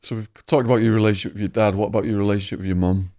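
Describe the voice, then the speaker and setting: Calm host asking questions. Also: male voice, on the low side, very fast. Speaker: podcast host. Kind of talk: interviewing.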